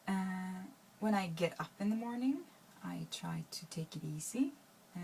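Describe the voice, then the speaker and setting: Low-voiced woman, rambling vlog. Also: darkish tone, a little hiss or hum, poor audio. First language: Swedish. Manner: rambling, hesitant, many fillers and restarts. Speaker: vlogger. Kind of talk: casual talk to camera.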